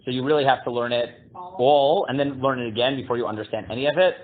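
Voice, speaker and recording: A man leads a casual Torah class in a booming voice. He halts and restarts often, reading Hebrew text aloud before translating it. The sound is thin and tinny, like a phone line. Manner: halting, many fillers and restarts. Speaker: rabbi teaching a class.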